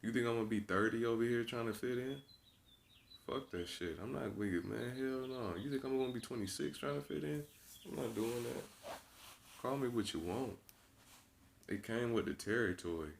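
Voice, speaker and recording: A man's voice: mid-range voice, normal speed, creaky, slight background noise. A man in his twenties talking straight to his viewers, blunt and profane, preaching and repeating himself for emphasis. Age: 20s. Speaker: vlogger. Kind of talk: unscripted monologue to camera.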